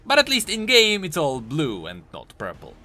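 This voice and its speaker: A male video host delivers game commentary over background music. His voice is fairly high and bright, with extremely lively pitch swings from phrase to phrase. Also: moderate pace. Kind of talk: scripted commentary on game voice lines.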